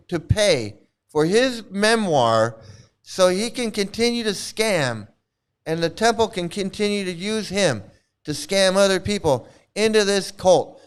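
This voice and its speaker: A middle-aged man rants in loose commentary, scornful and profane, circling back to the same words again and again. His voice sits high for a man and comes through smooth and clear. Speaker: commentary channel host. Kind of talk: casual ranting commentary.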